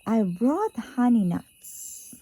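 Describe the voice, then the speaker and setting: A woman's voice: a little high, very animated, very slow, mellow, breathy edge, no echo, heavily acted. A woman voicing a friendly, generous badger in a children's storybook, with an eager, playful delivery. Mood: eager, grinning.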